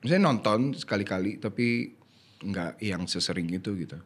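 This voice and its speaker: A young man, talking casually as a podcast guest, relaxed and a little hesitant, often repeating his words. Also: lowish voice, normal speed. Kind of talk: casual chat.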